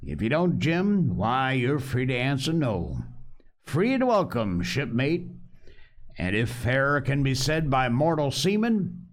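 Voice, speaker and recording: A man's voice: medium pitch, big pitch swings, takes it slowly, voice pushed up. A man voicing a cunning old male pirate captain who talks in wheedling nautical slang. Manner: sly, gracious, nautical slang.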